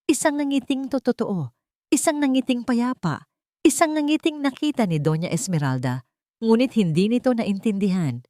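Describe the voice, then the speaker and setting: A woman's voice: low voice, darkish tone, no room sound, studio quality. A woman with a Filipino accent giving a scripted, dramatic narration as a storyteller.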